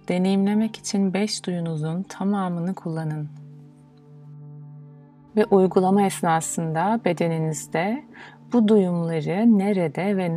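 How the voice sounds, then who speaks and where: A woman guiding a meditation in a soothing, measured, instructional way, leaving pauses between phrases. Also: lowish voice, warm tone. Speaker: meditation guide. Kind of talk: guided yoga nidra meditation, instructional. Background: music.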